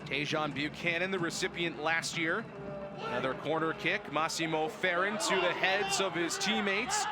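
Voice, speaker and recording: High-pitched young male soccer announcer. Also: moderate pace, loud music behind the voice. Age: young adult. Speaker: play-by-play announcer. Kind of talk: live soccer play-by-play commentary. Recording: noisy outdoor stadium ambience behind. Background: outdoor match ambience.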